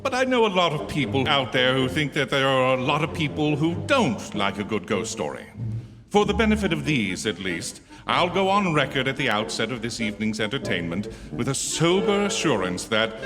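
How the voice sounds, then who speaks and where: An actor playing an urbane, wry star host introducing a 1940s radio thriller in theatrical, measured oratory. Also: male voice, mid-range voice, big pitch swings, natural voice. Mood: wry, suave. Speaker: program host. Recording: echoey theatre hall with music underneath.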